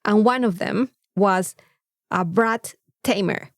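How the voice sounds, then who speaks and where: A Mexican woman with a native Spanish background, co-hosting a podcast in casual, comedic chat with a playful, sweary, animated storytelling manner. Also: medium pitch, slow pace, no echo, studio quality. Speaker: podcast co-host.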